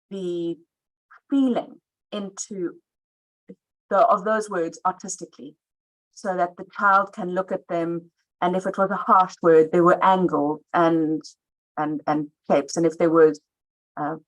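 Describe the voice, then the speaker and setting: A woman artist speaking at a book launch, earnest and warm, often restarting her sentences. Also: medium pitch, rough recording. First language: not Afrikaans. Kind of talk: speaking at a live event.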